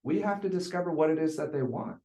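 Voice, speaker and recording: A man's voice: fairly high pitch, fast, mellow. Man, real estate sales coach, blunt and emphatic, repetitive phrasing, echoey room. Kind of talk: group coaching talk.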